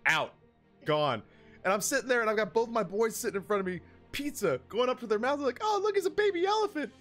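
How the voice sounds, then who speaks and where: A man around his thirties tells personal stories on a livestream in a casual, comedic, animated way, with profanity and self-deprecation. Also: very high-pitched, fast talker. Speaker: live streamer telling personal stories. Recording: music behind the voice. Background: background music.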